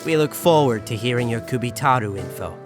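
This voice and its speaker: A man's voice: medium pitch, expressive, a little fast, over music, close to an everyday voice. A man playing a composed, coolly threatening young gang heir in a visual novel fan dub, speaking politely and in a businesslike way.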